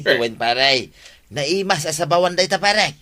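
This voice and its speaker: A man's voice: on the high side, expressive, moderate pace, sharp tone. An actor with an Ilocano Filipino accent playing a pushy, scheming male snail vendor in a comedy radio drama. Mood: eager selling.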